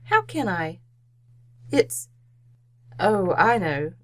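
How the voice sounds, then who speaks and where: A woman's voice: medium pitch, big pitch swings, extremely slow. A woman playing a curious, playful wife in an old-time radio drama. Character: curious, playful wife guessing at husband's news.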